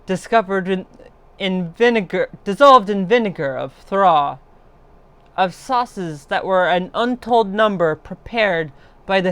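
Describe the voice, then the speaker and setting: A woman narrating a horror story in a theatrical, deliberate way, swelling in intensity as a livestream storyteller. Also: on the low side, a bit bright, smooth. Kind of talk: dramatic horror story reading.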